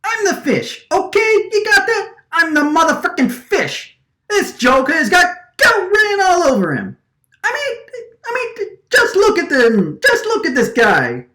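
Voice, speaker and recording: A woman's voice: very high-pitched, huge pitch swings, a little slow, sharp tone, roomy sound, full character voice. A woman acting an agitated, stammering wiseguy man with a vacuum for a face, recording radio drama lines. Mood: indignant. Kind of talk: radio drama line takes, gangster-movie wiseguy delivery.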